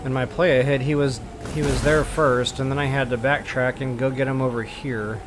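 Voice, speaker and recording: A man's voice: medium pitch, fairly even intonation, normal speed. Casual male game streamer, noisy recording. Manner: rambling, folksy slang. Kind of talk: casual live gameplay walkthrough commentary.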